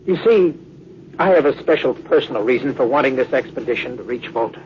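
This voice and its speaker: A man's voice: medium pitch, animated, brisk, lightly acted. Man playing an earnest young lieutenant, old radio. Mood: pleading, determined. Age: young adult. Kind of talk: 1950s radio drama acting. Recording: dull old radio recording, faint noise.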